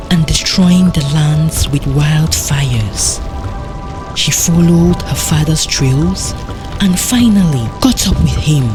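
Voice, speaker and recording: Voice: mid-range voice, poor audio. A storyteller giving dramatic scripted folktale narration in a solemn, portentous manner.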